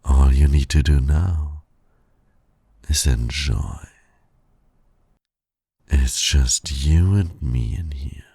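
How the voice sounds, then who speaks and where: A man playing a suave, flirtatious villain who teases and courts his nemesis in an intimate ASMR roleplay, with a husky, gravelly, breathy voice. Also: a bass voice, no room sound, clean studio sound, exaggerated voice. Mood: coaxing, persuasive. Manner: slow, breathy, suave teasing with soft chuckles.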